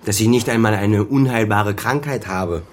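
An actor playing a weary, defensive son and former officer in a naturalistic radio crime drama, speaking in a bitter, guarded way. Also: male voice, on the low side, moderate pace, close to an everyday voice. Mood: bitter, resigned. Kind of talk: naturalistic German radio play dialogue.

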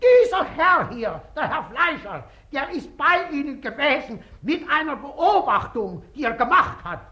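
An actor playing an indignant, excitable elderly citizen in a 1950s radio play, stammering and repeating his words as he blusters. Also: very high-pitched, a little slow, heavily acted. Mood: indignant, agitated.

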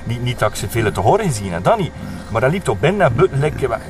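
An actor playing a flustered, long-winded local witness with a Flemish accent, halting and repeating himself. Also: male voice, mid-range voice, big pitch swings, over music, close to an everyday voice. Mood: rambling, insistent. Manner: halting, repetitive, circles around the point.

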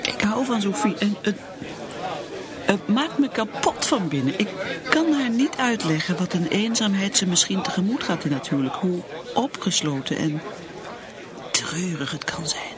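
A woman's voice: medium pitch, animated, normal speed, crowd noise, lightly acted. An actress with a Netherlands Dutch accent playing a lonely, bitter middle-aged mother, a drinker in denial, brooding and repeating herself in radio drama. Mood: lonely, anguished.

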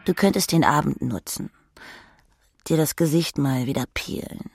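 A woman's voice: deep voice, moderate pace, darkish tone, soft background music. A woman voicing a jaded, sarcastic columnist in her mid-thirties, giving herself a sardonic inner talking-to. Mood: sardonic, irritated. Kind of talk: literary second-person monologue.

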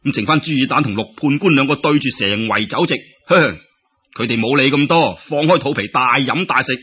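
A man's voice: mid-range voice, lively intonation, brisk, slightly rough, muffled recording. A man with a Cantonese accent telling a folk tale in a theatrical, colloquial and exclamatory storytelling style. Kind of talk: dramatic traditional folk-tale narration.

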